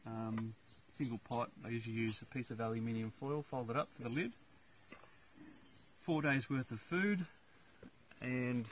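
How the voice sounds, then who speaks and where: A man in his thirties shows off his lightweight hiking setup in the bush, speaking in an easygoing, practical way with frequent pauses. His voice sits at an ordinary male pitch. It comes from a camera standing a little way off, with plenty of outdoor noise around it. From Australia. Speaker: hiker showing his gear. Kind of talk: casual gear walkthrough. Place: outdoors in eucalyptus bushland campsite. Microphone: camera on tripod a few metres away.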